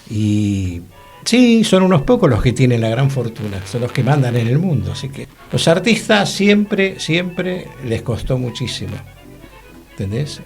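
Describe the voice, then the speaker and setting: A man's voice: medium pitch, creaky, a little mellow. A man with an Argentine accent chatting casually on a radio panel, musing and often checking for agreement. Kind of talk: casual conversational radio discussion.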